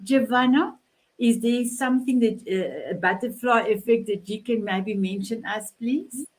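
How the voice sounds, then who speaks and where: A woman's voice: a little high, a little slow, poor audio. South African woman hosting a talk show. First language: Afrikaans. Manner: effusive, complimentary. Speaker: host of an online talk show. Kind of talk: hosting a panel conversation.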